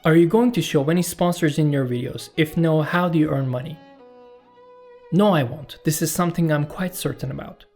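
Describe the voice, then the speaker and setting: A Turkish man whose first language is Turkish reads viewer questions and answers them in a calm, measured voice-over. His voice sits a little high and has a slightly husky edge. Faint violin music plays underneath. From Turkey. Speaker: YouTube channel host. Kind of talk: scripted Q&A voice-over.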